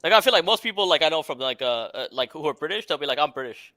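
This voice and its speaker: A man's voice: fairly high pitch, lively intonation, very fast, pushed and bright, dry recording, studio quality. A man in a casual watchalong chat who talks with lots of fillers and keeps repeating his question. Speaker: co-host of a football watchalong. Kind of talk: casual debate.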